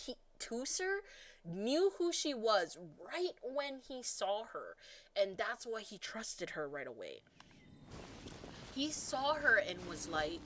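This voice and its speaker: A woman streamer rambling excitedly about a game story while she plays, full of restarts and fillers. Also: medium pitch, noisy background. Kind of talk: rambling gameplay commentary. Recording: loud game music behind the voice.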